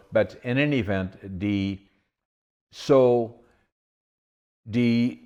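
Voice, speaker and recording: A man from the Netherlands with a Dutch accent, a podcast host talking casually in a rambling way and restarting his phrases. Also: lowish voice. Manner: rambling, repeats words when restarting. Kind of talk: casual interview conversation.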